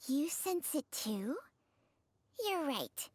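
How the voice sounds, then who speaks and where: A thoughtful young investigator from a video game. Her high voice is expressive and deliberate, with unhurried pacing. The sound is clean and earnest. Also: no room sound, heavily acted. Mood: grave, deliberate. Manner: deliberate, reasoning aloud.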